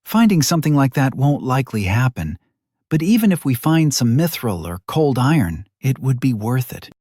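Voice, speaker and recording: A man's voice: medium pitch, very animated, normal speed, darkish tone, husky, dry recording, clean studio sound, pitched above the performer's own voice. A man voicing a confident, teasing young woman adventurer with a sarcastic, bantering manner in an audiobook. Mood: wry teasing.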